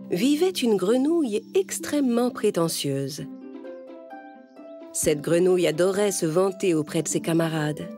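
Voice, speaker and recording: A woman's voice: fairly low pitch, mellow. A woman reading scripted narration for children's storybook fables. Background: music.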